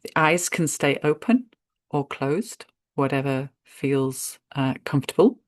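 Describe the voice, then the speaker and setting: Female meditation guide, very slow gentle delivery with spacious pauses, dry recording. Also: extremely low pitch, dry recording. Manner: unhurried, gentle, spacious pauses between short phrases.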